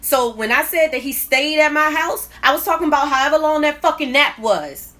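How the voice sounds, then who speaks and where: A woman telling a personal story casually and candidly, blunt and profane, with lots of slang and animated acting-out of her reactions. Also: sits high, brisk. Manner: animated, acts out quoted reactions. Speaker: storyteller hosting own vlog.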